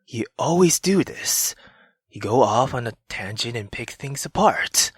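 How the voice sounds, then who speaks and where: Woman doing a low, weary, irritated husband. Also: lively intonation, measured pace, slightly rough, dry recording, heavily acted. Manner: low, restrained, exasperated. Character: irritated, weary aristocratic husband defending himself.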